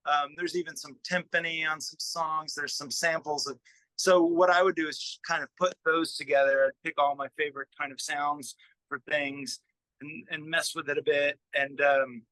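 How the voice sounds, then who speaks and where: A man with a fairly high, notably bright voice, recorded dry and close to room-free. He talks casually as a musician being interviewed, pausing and working out his thoughts as he explains. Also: steady tone, dry recording, poor audio. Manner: halting, thinking aloud while explaining.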